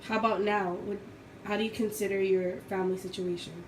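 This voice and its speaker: A woman's voice: mid-range voice, level tone, brisk. A young adult woman interviewing her mother for an oral history, asking short, direct questions. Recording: echoey room, faint noise.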